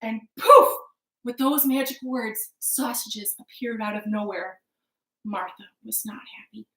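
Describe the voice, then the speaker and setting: A woman telling a children's story in an animated, dramatic way. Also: on the high side, measured pace. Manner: dramatic, sometimes dropping to a hushed near-whisper. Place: living room at home. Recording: echoey living room.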